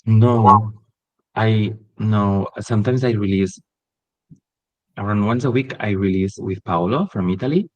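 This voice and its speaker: Soft-spoken Catalan-accented man, low voice. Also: unhurried, poor audio. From Catalonia, Spain. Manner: hesitant, many ums and restarts. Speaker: podcast guest. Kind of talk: casual interview chat.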